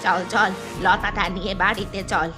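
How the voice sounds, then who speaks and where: A woman's voice: mid-range voice, fairly even intonation, moderate pace, over music, lightly acted. A woman voicing a caring but stubborn, easily irritated old grandmother in a Bangla cartoon. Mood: irritable.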